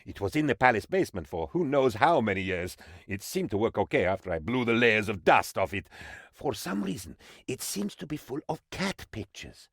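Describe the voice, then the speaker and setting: An actor playing an eager, bumbling, cheerfully shameless aide to a dictator in a video game, with a theatrical, mock-official delivery. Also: male voice, mid-range voice, sing-song, normal speed, dry recording, clean studio sound. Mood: pompous, enthusiastic. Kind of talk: comic video game voice acting, reporting to his leader.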